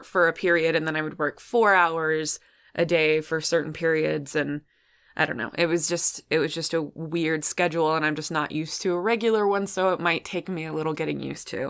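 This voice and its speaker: A woman's voice: low voice, fairly bright tone, clean tone. A woman hosting a solo podcast, talking casually in a rambling, chatty way about what she watches. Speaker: podcast host.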